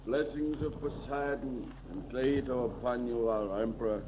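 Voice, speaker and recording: An actor in a 1930s radio serial playing a solemn, fatherly high priest who speaks in formal, measured, pious phrases. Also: steady tone, natural voice. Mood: solemn, benedictory. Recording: noisy old 1930s radio recording.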